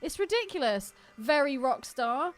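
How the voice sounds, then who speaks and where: A woman's voice: high-pitched, expressive, unhurried, soft background music. A woman co-hosting a podcast, chatting casually about a film with teasing, sweary banter. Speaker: podcast co-host. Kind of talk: casual film commentary chat.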